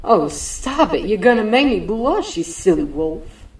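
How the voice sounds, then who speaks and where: A woman voicing a resolute, solemn cartoon angel leader in a comic dub, measured and dramatic. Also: medium pitch, big pitch swings, darkish tone, echoey room, distant mic, low-quality recording. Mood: bashful.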